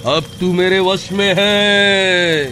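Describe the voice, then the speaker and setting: Man voicing a deep, pompous occult sorcerer. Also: steady tone, extremely slow, bright, forceful tone, a little hiss or hum, full character voice. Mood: commanding. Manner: booming chants and imperious commands. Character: pompous male village occult sorcerer, bossy and irritable. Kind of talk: cartoon horror-comedy voice acting.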